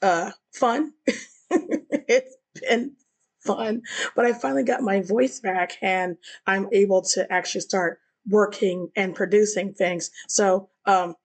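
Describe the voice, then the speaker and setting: A woman hosting a casual video commentary, chatty and giggly, swearing freely, her voice rough as she recovers from the flu. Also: medium pitch, fairly even intonation, slow. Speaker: video essay host.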